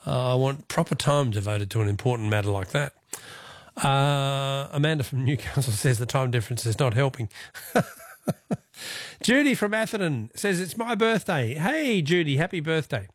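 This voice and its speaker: A man's voice: mid-range voice, animated, dry recording, clean studio sound. A man with an Australian accent hosting a live phone-in radio quiz in a chatty, jokey manner. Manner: chatty, jokey, quick to chuckle.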